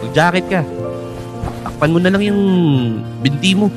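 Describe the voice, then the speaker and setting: Man acting a brash, high-voiced male student. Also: moderate pace, lightly acted. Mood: relaxed, friendly. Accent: Filipino. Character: brash, cheeky male high school student of about twenty. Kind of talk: Filipino radio drama acting. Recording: music under the voice.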